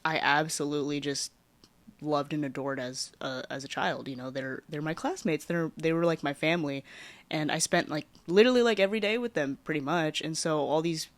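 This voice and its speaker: A woman's voice: sits low, brisk, no room sound. Young woman, podcast host, chatty with lots of fillers, dry close recording. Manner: chatty, filler-heavy, trailing off softly at phrase ends. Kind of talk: casual personal storytelling.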